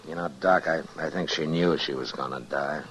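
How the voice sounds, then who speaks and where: An American actor playing a steady, decent frontier marshal in an old-time radio western, speaking in a plain, understated, folksy way. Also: male voice, a bass voice, moderate pace. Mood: grave, quiet. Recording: noisy vintage radio recording.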